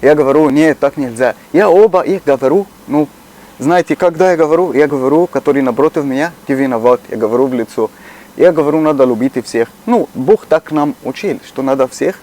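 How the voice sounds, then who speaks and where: Egyptian man in halting Russian. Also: on the high side, a little mellow. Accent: Egyptian Arabic. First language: Arabic. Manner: earnest, halting, slow to find words. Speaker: shopkeeper. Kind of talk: casual chat.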